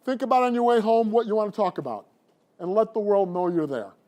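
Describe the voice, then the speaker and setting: A middle-aged man coaching public speaking, talking to a class in an animated, conversational way. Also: high voice, brisk. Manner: animated and conversational, addressing the room. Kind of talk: presentation to a class.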